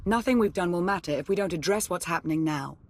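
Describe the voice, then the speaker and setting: An actress playing a serious, urgent Sith intelligence minister in a game, speaking in a formal, clipped, businesslike way. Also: female voice, lowish voice, fast talker.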